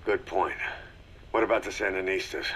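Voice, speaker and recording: Gruff, husky mercenary commander, low-voiced. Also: male voice, normal speed, a bit bright. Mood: grim, terse. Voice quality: gravelly, husky. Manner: terse, commanding. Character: gruff, stoic mercenary commander, a legendary male soldier. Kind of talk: video game audio drama dialogue.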